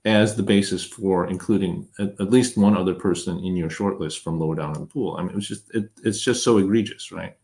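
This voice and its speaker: A man's voice: sits low, fairly quick, gravelly, hardly any pauses, a little mellow. A man who is a guest and political candidate, speaking thoughtfully and hesitantly in a podcast interview, with many fillers. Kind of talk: conversational interview.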